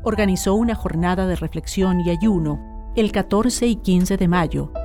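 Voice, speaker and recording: A woman's voice: lowish voice, moderate pace. Chilean woman narrating over music. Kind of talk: scripted documentary narration.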